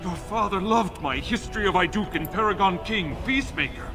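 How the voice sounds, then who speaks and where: A man's voice: high voice, expressive, fairly quick, over music. An actor playing an anxious, bookish scholar in a fantasy game, speaking formally as he explains himself. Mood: pleading. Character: anxious, bookish male scholar pleading his case. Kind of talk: fantasy video game dialogue.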